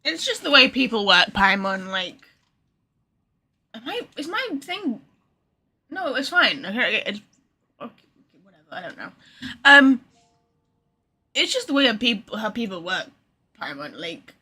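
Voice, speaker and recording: A woman's voice: on the high side, big pitch swings, measured pace, long pauses, pushed and bright, breathy edge. A woman giving casual live game commentary as a streamer, with a blunt, sarcastic manner. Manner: reacts bluntly to dialogue, sarcastic.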